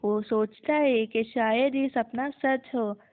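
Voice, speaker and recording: A woman's voice: a little high, fairly even intonation, slow pace, no room sound. A woman narrating a scripted children's moral story as the storyteller. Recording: muffled, narrow phone-like sound.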